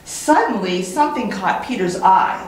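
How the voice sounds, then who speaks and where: A woman reading a children's story as a teacher, in an expressive, animated way with dramatic pauses and repetition. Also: mid-range voice, slight background noise. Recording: very echoey room.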